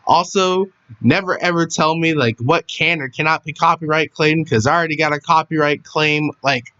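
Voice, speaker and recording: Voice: medium pitch, moderate pace, pushed and bright, clear, resonant tone, muffled recording. A streamer with a whiny voice, chatting casually and rambling, with phlegm in the throat and sudden yelps. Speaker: live streamer.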